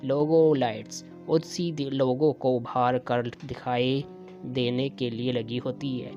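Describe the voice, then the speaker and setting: A man's voice: mid-range voice, steady tone, takes it slowly. Man narrating over music, dull lo-fi sound. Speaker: narrator of an explainer video. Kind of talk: scripted narration. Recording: dull lo-fi voice track. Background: music with guitar.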